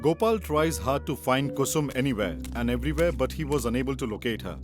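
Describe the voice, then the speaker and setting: A man's voice: medium pitch, lively intonation, fast, over music. A man narrating a children's story as a scripted read.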